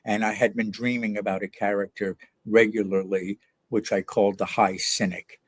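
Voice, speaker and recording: An older man from New York, an author answering interview questions in a reflective, hesitant way with many pauses and fillers. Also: fairly low pitch, level tone, breathy edge, no echo.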